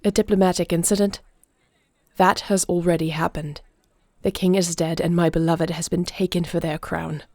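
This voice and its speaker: A woman acts out a fierce warrior queen of dragon blood in a fantasy audio roleplay. Her voice is low and creaky, recorded close and dry. She speaks with formal, deliberate authority. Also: level tone, a little mellow, no room sound. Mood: steely, urgent. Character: commanding dragon-shifter warrior queen, fiercely protective wife.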